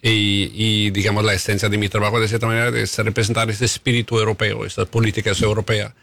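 A man speaking as a diplomat guest on a radio show, measured and careful, hesitating often, Italian his first language, on a studio microphone. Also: fairly low pitch, little pitch movement, creaky, a bit bright, dry recording. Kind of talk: radio interview answers.

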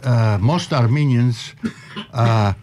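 Older male guest from Egypt, mid-pitched, halting delivery. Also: darkish tone, a little hiss or hum. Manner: halting, with many fillers. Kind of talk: casual interview chat.

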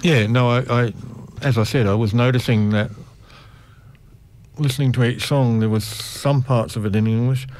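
A male interviewer with a middling pitch chats casually with a guest. He asks questions conversationally, often rewording them as he goes. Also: fairly even intonation, moderate pace, darkish tone.

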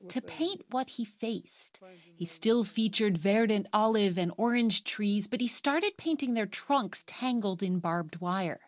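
A woman's voice: mid-range voice, expressive, measured pace, no echo. A woman with an American accent reads scripted narration as a radio news reporter.

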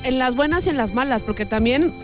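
A woman's voice: high-pitched, lively intonation, fairly quick, over music. A woman with a Mexican accent hosting casual on-location interviews with fans in a playful, teasing, chatty way. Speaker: radio promotion host interviewing football fans.

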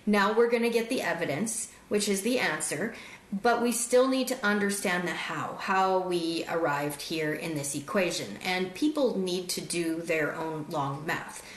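A woman's voice: fairly low pitch, unhurried. A woman from Canada talking casually, rambling with many fillers and restarts. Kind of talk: casual conversation. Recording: roomy echo.

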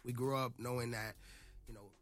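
A man's voice: medium pitch, fairly even intonation, normal speed. A man as a radio guest, chatting casually in slangy, conversational phrases. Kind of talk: casual group chat. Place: radio studio.

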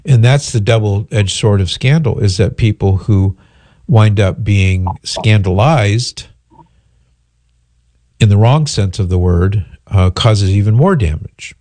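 A low, mellow-toned man with a head cold, his voice a little nasal. He explains things at a measured pace, pausing on ums and restarting phrases. The studio sound is dry and close. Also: dry recording. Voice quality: slightly nasal. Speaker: radio call-in host. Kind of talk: call-in radio answering listener questions. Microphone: studio microphone.

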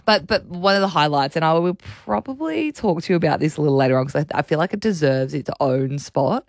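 A hoarse young Australian woman chats on breakfast radio, rambling and laughing through the talk. Her raspy voice sits close and dry in the studio. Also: low-pitched, expressive, fast talker, no room sound. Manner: chatty, rambling, laughs often. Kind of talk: casual breakfast radio banter.

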